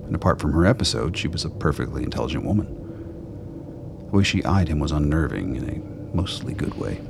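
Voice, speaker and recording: Male narrator for radio drama, extremely deep voice, scripted storytelling, faintly noisy track. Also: lively intonation, a little fast, creaky.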